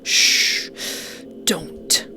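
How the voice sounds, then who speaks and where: A woman voicing an obsessed, possessive male ex-boyfriend in a visual novel, hushed and controlled with quiet menace. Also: drawn-out words, slight background noise, exaggerated voice. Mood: coaxing, controlling. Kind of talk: visual novel villain dialogue.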